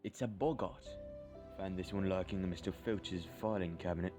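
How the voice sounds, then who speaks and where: A grave wizard teacher played by a man with a low voice, patient and careful in guiding a pupil. It is audio drama acting with faint music underneath. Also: steady tone, moderate pace, natural voice. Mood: grave, instructive.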